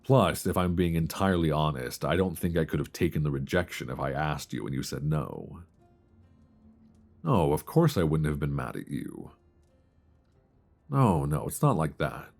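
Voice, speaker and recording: A man playing a tender, nervous longtime friend confessing love in an intimate ASMR romance roleplay, with a deep voice and hesitant, earnest delivery, close to a binaural mic. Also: animated, gravelly, faint music behind, heavily acted. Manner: hesitant, soft, earnest, with sighs and pauses. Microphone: close binaural microphone.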